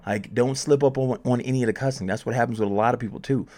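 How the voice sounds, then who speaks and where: A man's voice: mid-range voice, steady tone, quick pace, darkish tone. A man presenting music promotion advice in a casual, conversational way, informally repeating phrases for emphasis.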